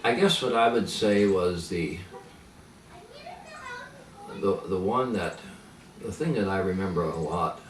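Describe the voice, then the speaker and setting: An older man who grew up speaking Pennsylvania Dutch, reminiscing slowly with many pauses and fillers. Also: low-pitched. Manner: halting, many fillers and restarts. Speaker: interviewee. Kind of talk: reminiscing in conversation. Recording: echoey room, mic at a distance, faint noise.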